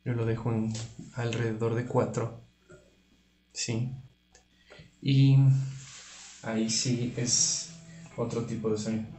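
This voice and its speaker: A man giving an informal guitar effects tutorial, explaining things in a halting way with restarts. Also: mid-range voice, little pitch movement, darkish tone. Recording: roomy, reverberant room sound. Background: electric guitar playing.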